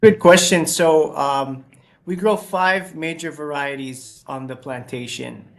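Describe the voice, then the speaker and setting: A man explaining things as a guest on a farm radio show in a lively, descriptive way. His voice is gravelly and sits a bit high. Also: slow. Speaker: coffee orchard manager guest.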